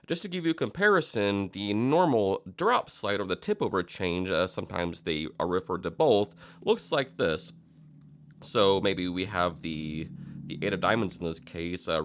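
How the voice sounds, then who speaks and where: Young man, very low voice, stuffy with a cold; casual tutorial host, loose and rambling. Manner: relaxed, explanatory, with frequent fillers and restarts. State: head cold. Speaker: magic instructor.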